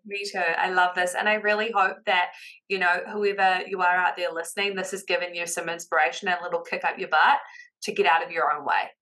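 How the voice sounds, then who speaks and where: A woman with a New Zealand accent talks as a podcast guest. Her voice is bright and a little sharp, and she sounds upbeat and encouraging throughout. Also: on the low side, flat delivery, brisk, rough recording. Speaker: guest, confidence coach. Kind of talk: casual podcast interview.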